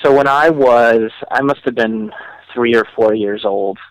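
A man with a mid-pitched voice tells comic personal stories as an interview guest. He chats casually in a wry, mock-serious way, recorded dry with little room sound. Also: a little slow, smooth, dry recording, low-quality recording. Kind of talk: casual humorous storytelling.

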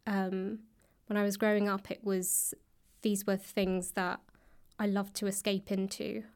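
A woman giving thoughtful, conversational interview answers, pausing often and a little hesitantly between phrases. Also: mid-range voice, little pitch movement, a little mellow, smooth. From grew up in the UK and India. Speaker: guest, author and actor. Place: indoors, upper floor of a public library.

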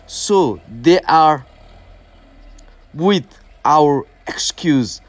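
A man from Nepal with a Nepali accent, a native Nepali speaker reading scripture very slowly and stumbling over hard words. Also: fairly high pitch, expressive, no echo.

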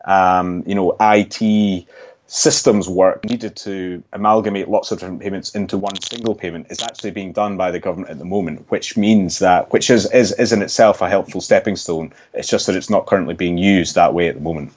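A Scottish man speaking in a very low voice as a guest on a podcast. He explains things in a measured way and often restarts his sentences mid-thought. The audio sounds dull and lo-fi. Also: steady tone. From Scotland. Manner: measured, thinking aloud with frequent restarts. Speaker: economist guest. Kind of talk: podcast interview answers.

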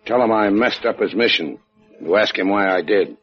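A man's voice: fairly low pitch, moderate pace, soft background music, close to an everyday voice. A man playing a battered, stubborn adventurer in a 1950s radio drama, talking in terse, laconic wisecracks. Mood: gruff, stubborn. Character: battered, stubborn, hard-boiled male boat skipper adventurer. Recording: dull old radio recording.

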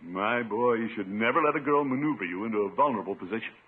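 A pompous middle-aged uncle in a 1950s radio comedy. His slightly husky voice swings widely as he blusters and gets flustered. The old broadcast recording is dull and muffled. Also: fairly low pitch, lively intonation, fast talker. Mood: smug, lecturing. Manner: pompous, blustering, nervous chuckling. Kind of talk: 1950s radio sitcom acting.

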